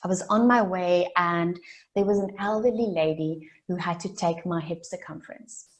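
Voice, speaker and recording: A South African woman in her late twenties, a native Afrikaans speaker, giving an earnest motivational keynote that builds its story through deliberate repetition. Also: on the low side. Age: late 20s. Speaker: summit speaker.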